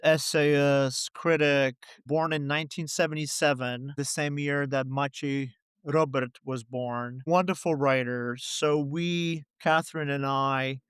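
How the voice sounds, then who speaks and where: A man's voice: fairly high pitch, fairly bright tone, smooth, no room sound, studio quality. A middle-aged man from Poland, a poet and translator, answering interview questions in a reflective way with many "you know" and "right?" asides.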